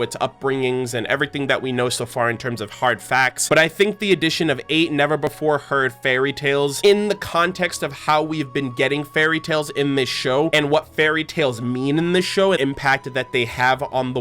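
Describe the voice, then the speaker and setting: A man's voice: mid-range voice, a bit bright. A man giving casual, enthusiastic, rambling fan commentary as a channel host. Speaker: host of a fan channel about an animated series.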